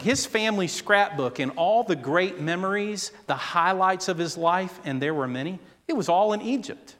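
A man preaching a sermon, speaking slowly and deliberately and acting out quoted voices with drama. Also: high voice, a bit bright. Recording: long hall reverb.

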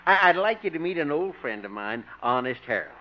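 An actor playing a folksy, good-natured, simple small-town jailer in a 1950s radio comedy, with a rustic, easygoing manner. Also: male voice, fairly high pitch, very animated, brisk. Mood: friendly. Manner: rustic, chuckling, easygoing drawl. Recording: dull, noisy 1950s radio recording.

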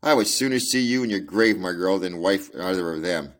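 A man's voice: sits low, brisk, lightly acted. A man reading aloud and voicing a fiery old farmer, an angry, protective father. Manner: angry, forceful.

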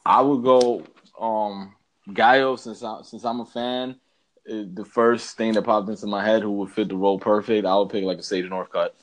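A man's voice: fairly low pitch, steady tone, normal speed, low-quality recording. A man co-hosting a podcast, chatting casually in a slangy, blunt way and thinking aloud as he goes. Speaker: podcast co-host. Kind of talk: casual banter, ranting movie review.

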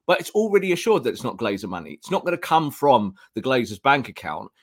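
Male podcast co-host, earnest fan opinions, voice a bit hoarse. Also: mid-range voice, sing-song, fast talker. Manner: earnest, opinionated, frequent restarts. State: losing his voice. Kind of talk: casual football fan discussion.

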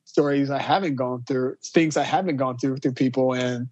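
A man's voice: mid-range voice, fairly even intonation, moderate pace, darkish tone, no room sound, highs cut off. A man co-hosts a casual podcast conversation. His talk is informal and slangy. He is recorded dry, with hardly any room around the voice. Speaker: podcast co-host. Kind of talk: casual chat.

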